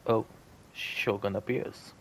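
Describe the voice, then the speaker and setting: A man casually commenting on a game as he plays, relaxed and low-key. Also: slow. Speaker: gameplay streamer.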